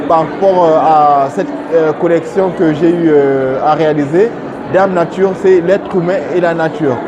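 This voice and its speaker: Male artist, high voice, halting and repetitive, gallery crowd audible behind him. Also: muffled recording. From Congo. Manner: halting, repeats words. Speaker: exhibiting artist. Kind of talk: informal on-camera presentation of own work. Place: art gallery.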